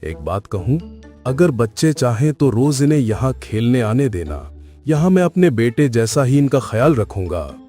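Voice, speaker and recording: Man voicing a kind cartoon billy goat, mellow tone, lively intonation, polite, earnest. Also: mid-range voice, normal speed, soft background music, close to an everyday voice. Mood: kind, courteous. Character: kind, courteous widowed father billy goat. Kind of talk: Hindi cartoon story acting.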